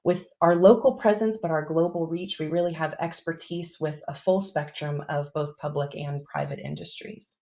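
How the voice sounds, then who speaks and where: A woman with a low, mellow voice presents her company and welcomes the panelists, polished and upbeat, leaning on her key words. The recording is slightly dull and lo-fi. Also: steady tone, normal speed, few pauses. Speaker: panel moderator. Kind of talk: corporate presentation and moderation.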